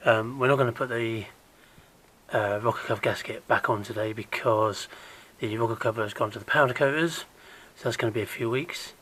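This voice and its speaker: A man with a croaky voice gives a casual, hands-on how-to while working on an engine in a garage, chatting along and dropping to a mutter as he works. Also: medium pitch, a little fast, fairly bright tone. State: croaky, recovering from illness. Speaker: DIY car repair vlogger.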